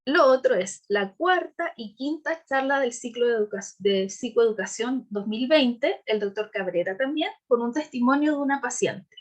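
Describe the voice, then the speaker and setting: Chilean woman giving a halting talk. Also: fairly high pitch, animated. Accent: Chilean Spanish. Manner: hesitant with frequent fillers and restarts; candid, laughs while telling her story. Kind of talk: informal presentation on a video call.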